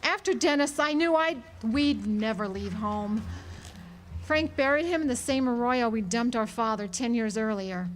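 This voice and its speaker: A woman acts out a defiant small-town woman weighed down by guilt. Her voice sits on the high side, and she confesses earnestly, with the dramatic delivery of a period radio play. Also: lively intonation, moderate pace, slight background noise, lightly acted. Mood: bitter. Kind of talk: radio drama acting, 1940s setting. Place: live amateur radio drama performance at an amphitheater.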